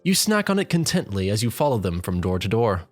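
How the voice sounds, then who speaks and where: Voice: medium pitch, big pitch swings, brisk, no echo. A narrator reading a comic story in the second person in a wry, exasperated way, with music behind. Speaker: fan fiction narrator.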